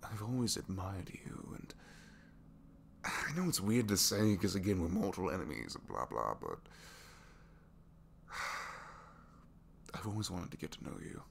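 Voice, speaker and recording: Man acting a low-voiced, bashful captive supervillain. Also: very animated, vocal fry, slight background noise, exaggerated voice. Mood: tender, hesitant. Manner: halting, self-deprecating, soft confiding delivery slipping into whispers. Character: captured young male supervillain, reluctantly evil, bashful and lovestruck. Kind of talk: ASMR romantic audio roleplay.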